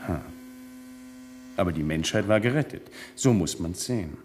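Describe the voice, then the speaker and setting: An actor playing a self-assured, coolly cynical space colony insider with a deliberate delivery in a 1970s radio play. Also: male voice, low-pitched, animated, darkish tone.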